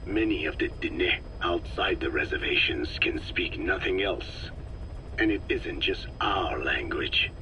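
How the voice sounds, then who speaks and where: A grave old Navajo scientist. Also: male voice, animated, bright, forceful tone, exaggerated voice. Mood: solemn. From Navajo Nation, American Southwest. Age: older. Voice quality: low, slow, measured and solemn, often breathy. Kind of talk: game cassette tape dialogue. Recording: noisy tape hiss.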